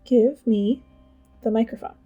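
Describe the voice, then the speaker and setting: A woman playing a composed, decisive teenage schoolgirl in an audio drama, speaking in a matter-of-fact, deadpan way. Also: on the high side, unhurried, lo-fi sound, soft background music. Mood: calmly urgent. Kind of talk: audio drama, understated dialogue.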